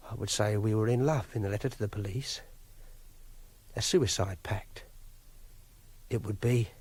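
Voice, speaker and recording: An actor playing a quiet, awkward, obsessive loner, speaking a halting, self-pitying confessional monologue in a radio drama. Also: male voice, on the low side, breathy edge, dry recording. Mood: flat, brooding. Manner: halting, plain-spoken, brooding. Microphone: close, dry studio microphone.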